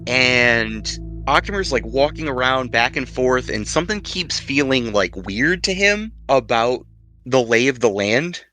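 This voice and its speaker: A man narrating a tabletop roleplaying game as game master, improvising descriptions in a conversational, halting way. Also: medium pitch, lively intonation, sharp tone. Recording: music playing behind the voice.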